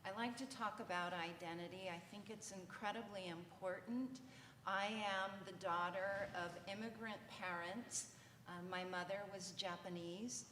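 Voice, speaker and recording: A woman's voice: mid-range voice, steady tone, normal speed, fairly bright tone. A woman who coordinates an English language program, speaking thoughtfully and personally on a panel. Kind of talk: reflective panel remarks. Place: conference hall. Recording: echoey hall, faint noise.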